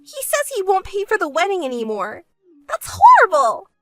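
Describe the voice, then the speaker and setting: Woman doing a bratty selfish sister in a story drama, super high whiny begging voice, music behind. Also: huge pitch swings, moderate pace, pushed and bright, no room sound, heavily acted. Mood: whining complaint. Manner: whiny, melodramatic, pleading. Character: spoiled, selfish younger sister, shrill, whiny and pleading.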